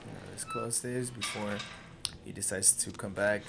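A young man giving casual, profane and excitable commentary while streaming a game on a desk microphone. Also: fairly low pitch, a little slow, vocal fry, heavy background noise. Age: young adult. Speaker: gaming streamer. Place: small room at home. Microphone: close desk microphone with headset. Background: loud game music and sounds.

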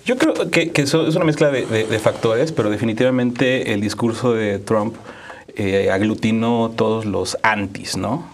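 A man's voice: fairly low pitch, normal speed, a little hiss or hum. A man in his thirties with a Mexican accent, giving conversational political commentary as a studio guest, often searching for words. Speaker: activist, panel guest. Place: small dark quiet studio, seated at table.

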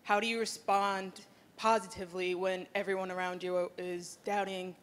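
A woman's voice: mid-range voice, little pitch movement, moderate pace, a bit bright. A young American woman, a college athlete, asking a question from the audience at an event. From United States. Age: young adult. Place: audience seats in a conference auditorium.

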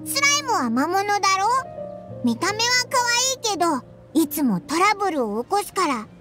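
A woman voicing a small, childlike fairy companion in an anime game, cocky and greedy, with squeaky, rough boyish speech. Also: sing-song, moderate pace, loud music behind the voice. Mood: lively storytelling. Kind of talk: Japanese anime game acting.

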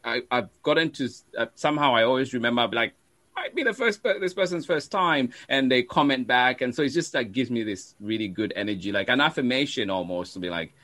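A man, a teacher being interviewed, talking casually and reflectively in halting, trailing clauses. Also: mid-range voice, expressive, pushed and bright, no echo. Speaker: teacher and storytime show creator, guest.

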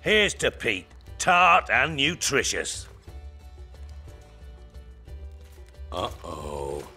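A boozy, boisterous soldier, in a fantasy game. He is crude and rowdy, with a sharp mid-pitched voice and faint music behind. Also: huge pitch swings, slow pace, breathy edge, heavily acted. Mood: reminiscing. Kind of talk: video game voice acting.

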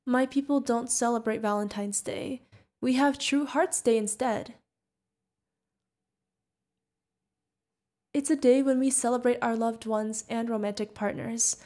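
A woman's voice: on the high side, fairly even intonation, moderate pace, warm tone, close to an everyday voice. A woman playing a sweet, curious, friendly feathered alien bartender in an ASMR roleplay, chatting directly to the listener. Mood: sweet, delighted.

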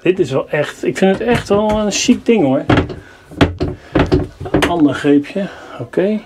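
A man's voice: high voice, animated, takes it slowly. Chatty man touring a camper. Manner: chatty, enthusiastic, mildly grumbling. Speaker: vlogger reviewing campers. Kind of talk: casual walkthrough vlog. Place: inside a motorhome at a product show. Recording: noisy camera audio.

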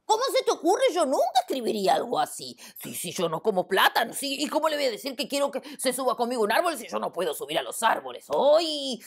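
A woman voicing a lovesick male lion in a children's story, exclaiming high and loud. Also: a little slow, long pauses. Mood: indignant.

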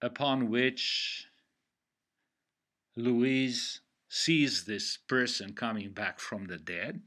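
Slow, bright-voiced older Romanian-accented man. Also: mid-range voice, steady tone. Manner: measured, deliberate explaining with pauses. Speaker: literature teacher. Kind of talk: online lecture. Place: home room. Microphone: headset on video call.

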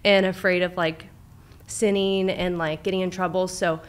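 Guest, young woman from Southern California, slightly low voice, chatty and open with lots of "um" and "like". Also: flat delivery, moderate pace, smooth. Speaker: clinical director, therapist, guest. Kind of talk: casual interview, personal story. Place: studio with brick wall. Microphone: studio mic on boom arm, headphones.

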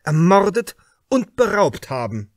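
Guy doing a shrewd pushy detective for an audiobook, formal and emphatic, voice up high, dry sound. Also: big pitch swings, unhurried, no echo. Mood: accusing, forceful. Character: shrewd, insistent male detective confronting a suspect. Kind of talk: audiobook character dialogue.

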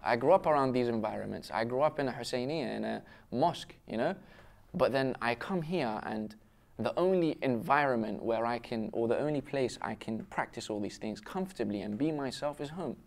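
A young man who grew up in Syria and then the UK talks with friends at a table. His voice sits at a middle pitch, and he reflects earnestly, often posing questions to himself as he goes. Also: expressive, a little fast, creaky. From raised in Syria until twelve, then the UK. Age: young adult. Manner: earnest, self-questioning, frequent rhetorical questions. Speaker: panel discussion participant. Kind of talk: casual round-table discussion, personal reflection. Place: indoor restaurant-style set with brick walls, seated at table. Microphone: lapel mic.

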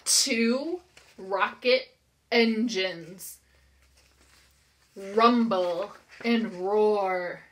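A woman's voice: on the high side, fairly bright tone. An American woman in her thirties, a preschool teacher reading aloud to young children with simple, encouraging phrasing and questions. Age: 30s. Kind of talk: interactive read-aloud lesson for young children.

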